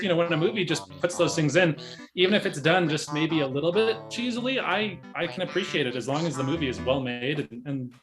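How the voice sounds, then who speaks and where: A man co-hosting a casual movie review, talking in a hesitant, rambling way and often correcting himself. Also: mid-range voice. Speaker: podcast co-host reviewing a movie. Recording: music behind the voice.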